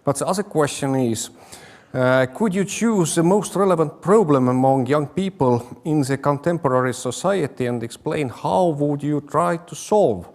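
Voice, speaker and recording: A man's voice: on the high side, normal speed, darkish tone. A man moderating a conference Q&A, reading out audience questions with hesitant, slightly non-native phrasing.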